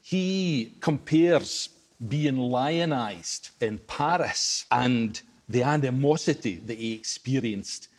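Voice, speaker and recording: A man's voice: mid-range voice, animated, takes it slowly. A man with an Ayrshire Scots accent, a writer and broadcaster, speaking passionately and emphatically as an interview guest. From Scotland. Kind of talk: interview, explaining history.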